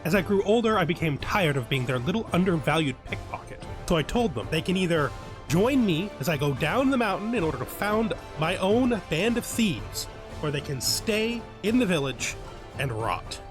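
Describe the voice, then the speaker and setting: A cocky young bandit chief boasts of his life story, proud and scornful of those who raised him, as a man reads his journal aloud. His voice sits a little high for a man. Soft music plays underneath. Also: very animated, moderate pace. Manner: proud first-person journal reading.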